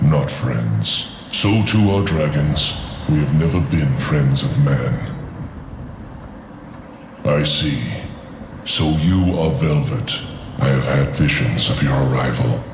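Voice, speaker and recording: An actor playing an ancient, weary dragon seer in a fantasy game, speaking solemnly in measured, archaic phrases. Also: male voice, rumbling low, animated, roomy sound, background noise, heavily acted.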